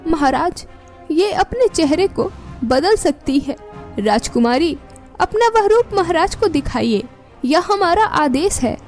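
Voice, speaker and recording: Woman acting a stern, high-voiced cartoon queen. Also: lively intonation, slow, a little mellow, soft background music, full character voice. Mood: commanding. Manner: imperious, indignant. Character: stern, angry, commanding queen.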